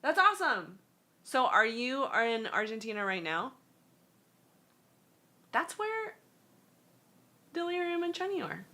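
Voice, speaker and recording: A woman's voice: on the high side, very animated, pushed and bright. A woman hosting a casual art critique livestream, chatting loosely and reading out viewer messages. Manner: chatty, loose, reads and translates viewer text; halting non-native Spanish. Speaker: stream host.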